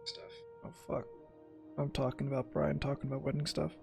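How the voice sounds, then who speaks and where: A man's voice: mid-range voice, level tone, fairly quick, creaky, over music. A man doing casual gameplay commentary as a streamer, swearing and muttering to himself in short fragments. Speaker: video game streamer.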